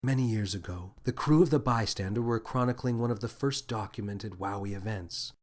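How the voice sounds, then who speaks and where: A man voicing a gentle, wise female alien officer with a soft, soothing, measured delivery in an audio story. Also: lowish voice, close to an everyday voice. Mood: solemn. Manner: calm, measured, thoughtful.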